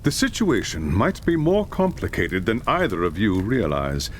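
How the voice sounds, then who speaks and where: A man playing a thoughtful, grave advisor in a dramatic video game scene, speaking formally and deliberately. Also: fairly low pitch, very animated, a little hiss or hum.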